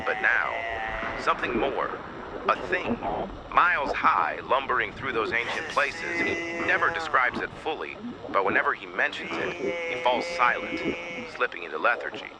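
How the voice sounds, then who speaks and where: A man acting an uneasy doctor, mid-pitched, dramatic swooping intonation, horror radio drama, very loud ambient noise. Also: sing-song, moderate pace, natural voice. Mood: uneasy, awed. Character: uneasy male physician reporting a strange case.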